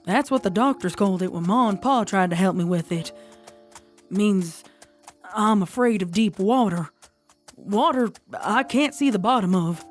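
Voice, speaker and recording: A woman's voice: medium pitch, a little slow, faint music behind, close to an everyday voice. A woman voicing a plainspoken, uneasy country pony mare in a fan fiction audio drama. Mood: reluctant. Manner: folksy, plain, reluctant.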